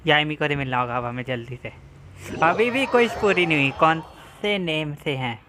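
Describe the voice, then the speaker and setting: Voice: medium pitch, animated, very fast, background noise. A game streamer of unclear sex with an Indian accent, chatting casually on a live stream. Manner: mixes Hindi and English. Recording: faint game music behind.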